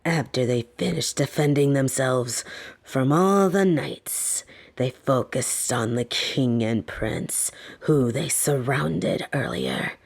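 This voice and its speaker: Woman doing a snake monster girl captor, low voice, real slow n teasing, softly menacing bedtime story vibe, fantasy audio rp. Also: sing-song, creaky, slightly rough, full character voice. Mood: soothing storytelling. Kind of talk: scripted fantasy audio roleplay.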